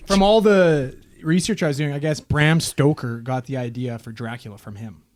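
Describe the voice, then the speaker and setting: A man's voice: fairly high pitch, normal speed. A man co-hosting a podcast, talking animatedly and swearing freely. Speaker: podcast co-host. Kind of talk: casual chat.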